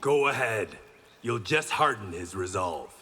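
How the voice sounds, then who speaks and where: A resolute swordsman hero from a fighting game. His voice is bright and forceful with lively intonation, dry and confident, in a long reverb. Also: fairly low pitch, measured pace, breathy edge, full character voice. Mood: defiant. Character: Kenshi, resolute, wry blind swordsman. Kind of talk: fighting game character banter. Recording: long reverb, faint background noise.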